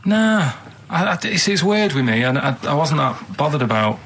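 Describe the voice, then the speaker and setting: A man's voice: a little high, very animated, normal speed, fairly bright tone, slightly rough. A man with a northern English accent chatting casually and telling rambling anecdotes in a plain-spoken, matter-of-fact way. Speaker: radio show regular telling anecdotes.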